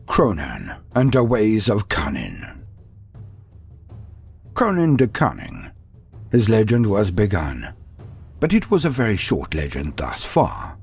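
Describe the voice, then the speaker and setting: A man's voice: on the low side, huge pitch swings, takes it slowly, long pauses, a little mellow, slightly rough. A man narrating a fantasy story in a dramatic, theatrical, grandiose style with wry asides. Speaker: narrator of a fantasy lore video. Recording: music under the voice. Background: background music.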